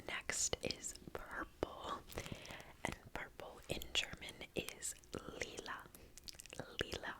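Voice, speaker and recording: A young woman whispering an ASMR language lesson close to the mic, softly repeating words, with casual chatty asides. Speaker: ASMR creator teaching basic German. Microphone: close sensitive mic.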